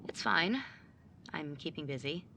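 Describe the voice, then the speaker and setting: A woman playing a driven, overachieving young student and younger sibling in an audio drama, brisk, businesslike and easily exasperated. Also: low-pitched, lively intonation, gravelly, bright, forceful tone, a little hiss or hum, exaggerated voice. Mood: awkward, guarded.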